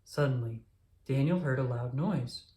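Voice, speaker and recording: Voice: mid-range voice, measured pace, dark tone, reverberant. A storyteller reading a children's picture book aloud as scripted narration.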